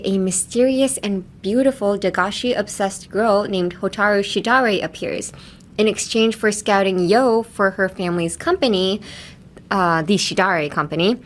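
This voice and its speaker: A woman hosting a casual language-lesson podcast, talking in a chatty, rambling way with tangents. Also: medium pitch. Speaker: podcast host teaching phrases.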